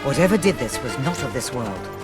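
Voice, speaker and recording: An actor plays a grave, learned companion with a mid-pitched, expressive voice. He speaks formally and with foreboding. Game score music sits under the lines. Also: brisk, lightly acted.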